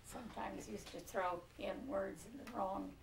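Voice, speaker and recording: An older American woman in her seventies recalls the past in an interview. Her voice has a slight gravelly creak, and she pauses as she goes. She sounds a little away from the mic in a roomy living room. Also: mid-range voice, steady tone. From Pinole, California. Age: 70s. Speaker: oral history interviewee. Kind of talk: reminiscing in a relaxed interview. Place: living room, on a leather couch. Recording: roomy, distant mic with faint noise.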